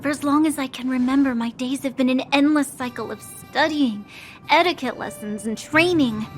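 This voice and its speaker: A woman's voice: high-pitched, a bit bright. A woman voicing an earnest, dutiful young noblewoman and officer in a video game, speaking formally and solemnly. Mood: earnest, apologetic. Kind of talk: dramatic video game voice acting.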